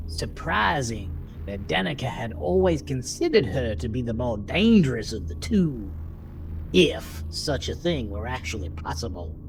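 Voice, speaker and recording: A man narrating a scripted horror audio drama in a theatrical, deliberate manner. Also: medium pitch, slight background noise, low-quality recording. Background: music.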